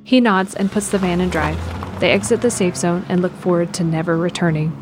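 A woman's voice: fairly low pitch, steady tone, fairly quick, over music. A woman narrator reading scripted present-tense narration for an audio drama.

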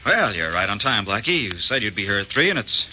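Anxious, stubborn American shopkeeper, fairly low, earnest and halting, dull noisy old radio drama. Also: male voice, very animated. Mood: earnest, worried. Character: anxious pawnbroker, polite but stubborn brother. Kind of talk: 1940s radio mystery acting. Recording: muffled, noisy old-time radio recording.

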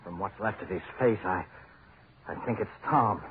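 Actor as edgy husband on tinny old radio. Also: male voice, fairly low pitch, normal speed, close to an everyday voice. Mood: shocked, panicked. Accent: American. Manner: curt, snapping, agitated. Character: tense, short-tempered husband turning panicked underground. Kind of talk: 1940s radio horror acting. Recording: tinny, noisy 1940s radio recording.

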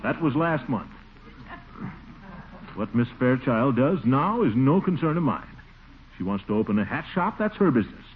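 An actor playing a pompous, easily huffy middle-aged uncle in a blustery manner, in 1940s radio comedy style. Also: male voice, mid-range voice, very animated, normal speed, pauses often, close to an everyday voice. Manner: blustery, pompous, chuckling and sighing. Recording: old tinny 1940s radio broadcast, hissy.

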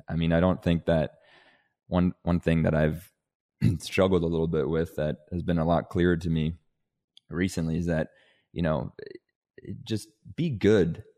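A man in his twenties, originally from Denmark, talking as an interview guest in a relaxed, rambling way with restarts and "you know"s. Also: low voice. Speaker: guest, agribusiness vice president. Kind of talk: casual interview conversation.

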